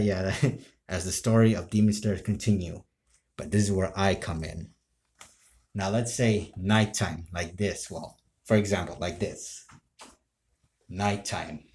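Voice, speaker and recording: Deep-voiced, slow-talking man, halting storyteller. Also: darkish tone. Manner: halting, rambling, self-interrupting. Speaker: amateur role-play video creator. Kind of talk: casual improvised storytelling to camera.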